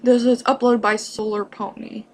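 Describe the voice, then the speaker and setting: Female vlogger, slightly high voice, casual and halting, hosting a homemade reaction video. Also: flat delivery, slight background noise. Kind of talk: casual chat to camera.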